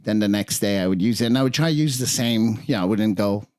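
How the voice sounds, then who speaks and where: A man's voice: lowish voice, fast talker, a little mellow, smooth, no echo, studio quality. A middle-aged man from Iran, partly raised in California, talking earnestly and casually as a chef guest on a podcast. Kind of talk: casual podcast interview, personal stories.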